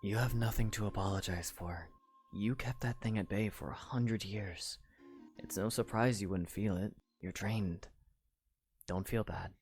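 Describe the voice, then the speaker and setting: A man voicing a young male hero in a fan audio drama, earnest and protective, speaking gently and with care. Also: on the low side, faint music behind. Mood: gentle. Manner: gentle, caring, measured. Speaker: voice actor.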